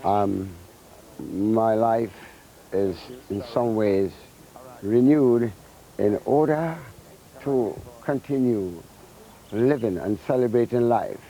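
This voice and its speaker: A man from Trinidad, a carnival musician speaking reflectively in a relaxed interview. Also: lowish voice, slow pace, low-quality recording. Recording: music playing behind.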